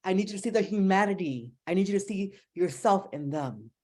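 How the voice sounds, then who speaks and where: A young woman from New Jersey with a New Jersey accent, giving an impassioned, fast-paced speech as a symposium panelist, emphatic and pleading. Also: medium pitch, lively intonation, darkish tone. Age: young adult.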